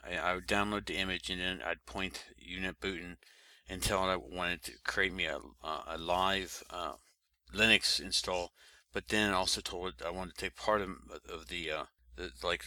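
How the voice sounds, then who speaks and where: A man co-hosting a casual tech podcast, talking in a halting way with many fillers and restarts. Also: sits low, steady tone, sharp tone. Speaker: podcast co-host.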